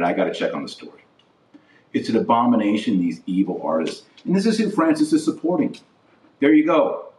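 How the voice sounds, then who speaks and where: A man's voice: medium pitch, a little mellow. A man hosting an informal opinion podcast, speaking in a blunt, indignant way and repeating phrases for emphasis. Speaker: podcast host. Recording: roomy echo, distant from the mic.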